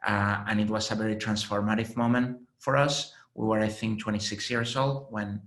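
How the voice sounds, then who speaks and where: A man in his late thirties with a Spanish accent, explaining his work as a venture investor in a measured way, with frequent fillers, on a video call panel. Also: on the low side, fairly even intonation, a bit bright. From Spain. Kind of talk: panel conversation. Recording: roomy echo.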